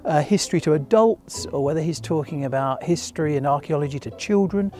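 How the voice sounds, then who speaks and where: A man's voice: on the high side, brisk, soft background music. An older man interviewed outdoors by a stone church, speaking with enthusiasm and some fillers. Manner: enthusiastic, warm praise with fillers. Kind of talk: interview testimony.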